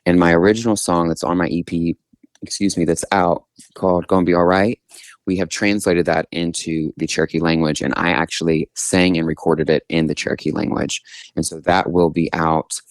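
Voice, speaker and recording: A man's voice: low-pitched, level tone, moderate pace, dry recording. A man in his twenties from Oklahoma with an American accent, answering casually as a guest in a radio interview. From Oklahoma, United States. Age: 20s. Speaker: interview guest, singer-songwriter.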